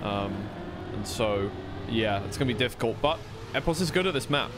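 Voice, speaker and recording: A man commentates live on a racing game with a mid-pitched voice. He talks conversationally and tends to question and correct his own calls. Vehicle noise from the game is clearly heard behind him. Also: animated, normal speed. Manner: conversational, second-guesses himself. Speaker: esports commentator.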